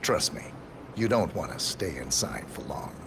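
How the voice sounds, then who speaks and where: An actor playing a composed tour guide in a video game, with a measured, formal, deadpan delivery and sinister dry humour. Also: male voice, low-pitched, gravelly, background noise, full character voice. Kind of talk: scripted video game dialogue. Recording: loud machinery and ambience behind.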